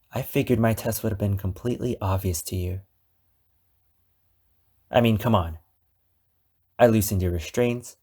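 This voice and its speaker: Man acting a slow, possessive, lovesick captor. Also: on the low side, darkish tone. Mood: bitter, controlled. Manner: slow, deliberate, dramatic pauses. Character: obsessive lovesick male captor, wounded, possessive, menacing. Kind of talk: ASMR roleplay monologue addressed to the listener.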